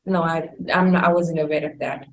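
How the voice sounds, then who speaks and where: A woman's voice: deep voice, flat delivery, normal speed, rough recording. A woman with an Indian accent hosting an interview in a courteous, wordy and somewhat hesitant conversational way. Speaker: interview host.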